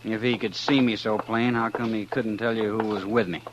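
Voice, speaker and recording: A man's voice: lowish voice, fairly even intonation, normal speed, dull audio, natural voice. An American man acting a bitter, defiant young outlaw prisoner in a 1950s radio western, with curt, clipped lines. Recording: noisy vintage radio recording.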